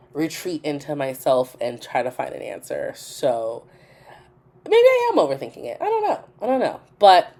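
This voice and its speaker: A woman's voice: low-pitched, darkish tone. A woman who sounds nasal and congested with a cold, giving casual, chatty voice-over commentary as a gaming video host and often repeating phrases for emphasis. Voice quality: stuffy, nasal.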